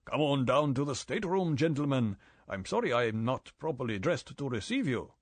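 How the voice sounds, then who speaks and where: A man's voice: medium pitch, sing-song, fairly quick, no echo, voice pushed up. A man voicing a suave yacht owner who speaks in a polite, formal and courteous way. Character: suave male yacht owner, smooth host.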